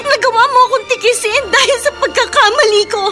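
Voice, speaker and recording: Emotional young girlfriend for radio melodrama, very high voice over loud music. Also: fairly quick. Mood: anguished, accusing. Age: young adult. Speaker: radio drama actress. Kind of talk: Tagalog radio drama acting.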